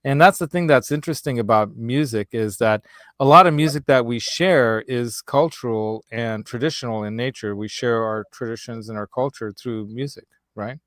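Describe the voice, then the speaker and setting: A man's voice: medium pitch, normal speed, a bit bright, no echo, clean studio sound. A man with a slight Hungarian accent and Hungarian as his first language, hosting a casual chat show, rambling and laughing easily. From United States. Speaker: host.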